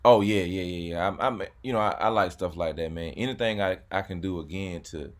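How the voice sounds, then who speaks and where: A man's voice: low voice, brisk. A man hosting a car channel, chatting casually, repeating words and saying "man" often. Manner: laid-back, repeats words, says "man" a lot. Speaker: YouTube car channel host. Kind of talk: casual chat.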